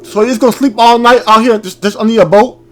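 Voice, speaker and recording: A man's voice: very high-pitched, fairly even intonation, fast talker, a bit bright. A man hosting a game playthrough, commenting casually and reading text aloud, informal and prone to stumbling over words. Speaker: gaming video host.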